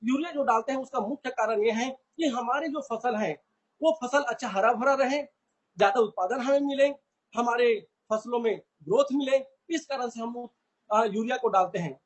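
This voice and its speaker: A man's voice: extremely high pitch, normal speed, slightly rough, poor audio. A man from India giving an earnest product training talk, addressing listeners as friends and repeating phrases.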